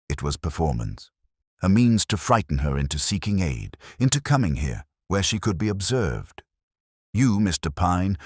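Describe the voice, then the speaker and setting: A man voicing a commanding, shrewd detective in an audiobook, speaking in deliberate, authoritative statements. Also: low voice, slightly rough, no echo, studio quality, exaggerated voice.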